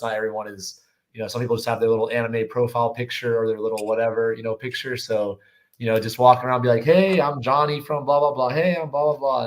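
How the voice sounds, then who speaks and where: A man with a slightly hoarse voice from yelling, chatting casually and enthusiastically in slangy speech as a guest on a livestream. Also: mid-range voice. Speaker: guest on a live show.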